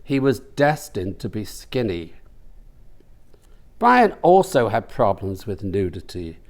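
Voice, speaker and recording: Male author-narrator for comic fiction; unhurried, pausing for effect, highly varied pitch. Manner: deliberate, dramatic pauses. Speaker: author reading from own novel. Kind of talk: comic audiobook-style narration.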